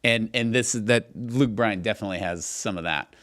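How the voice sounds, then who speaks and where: A man's voice: fairly low pitch, moderate pace, fairly bright tone. A middle-aged man co-hosting a podcast, telling stories and teasing in casual, emphatic, filler-heavy banter. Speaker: podcast co-host.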